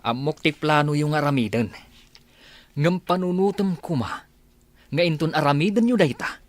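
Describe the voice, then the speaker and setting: A man's voice: fairly high pitch, normal speed, dry recording, natural voice. An actor playing an uneasy henchman who pleads and warns in an Ilocano radio drama. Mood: urgent warning. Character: uneasy henchman pleading with and warning his partner.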